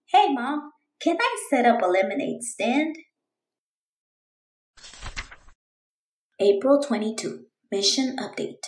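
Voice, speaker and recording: A woman voicing an eager, determined young girl reading out her storybook diary in an earnest, expressive way. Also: on the high side, roomy sound. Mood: excited.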